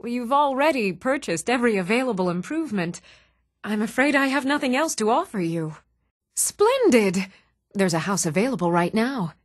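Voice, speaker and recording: An actress playing an earnest, even-toned woman in a fantasy video game. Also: a little high, normal speed, no room sound, clean studio sound. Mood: polite, businesslike. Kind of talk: video game character dialogue.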